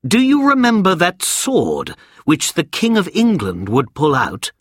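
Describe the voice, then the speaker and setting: A man voicing a proud, excitable young knight in a storybook reading, speaking eagerly and emphatically. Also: a little high, unhurried, slightly rough, no echo, clean studio sound, voice pushed up, exaggerated voice.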